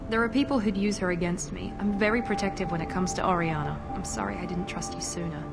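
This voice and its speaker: A cool, controlled operative. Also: female voice, fairly low pitch, steady tone, fast. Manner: crisp, controlled, clipped. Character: cool, guarded female operative and squad mate. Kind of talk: video game cutscene dialogue. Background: game score music.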